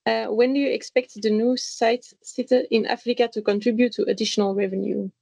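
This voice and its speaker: Creaky-voiced woman moderating a call. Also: a little high, fairly even intonation, brisk, warm tone, no room sound. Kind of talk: moderating and reading out questions.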